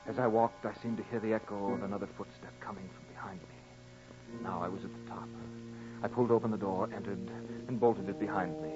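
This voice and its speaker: A man performs a guilt-wracked murderer telling his tale in a 1940s radio horror play. His voice sits somewhat low, with melodramatic pauses and fevered repetition. The vintage recording is muffled, with prominent music underneath. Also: close to an everyday voice. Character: guilt-ridden, panicked male murderer confessing his crime. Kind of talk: 1940s radio horror drama narration, first person.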